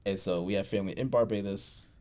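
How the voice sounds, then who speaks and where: A man from Boston, a guest on a conversation podcast, telling his story in a relaxed way with many fillers and frequent laughs. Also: fairly low pitch, a little fast. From USA, Boston. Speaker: guest, founder of a creative studio.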